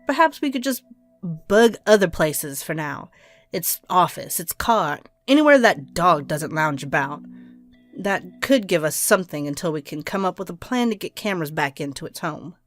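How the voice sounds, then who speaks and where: A woman's voice: on the low side, lively intonation, normal speed, fairly bright tone, no echo. Audio drama role: performer as a domineering, clinical woman doctor, impatient and scheming, faint music underneath. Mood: cold, calculating. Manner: curt, commanding, clinical.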